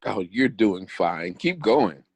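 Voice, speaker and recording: A man's voice: lowish voice, sing-song, slow, darkish tone, slightly rough, dry recording, heavily acted. A man reading the part of an easygoing, amused boyfriend on video chat in a screenplay table read. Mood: encouraging.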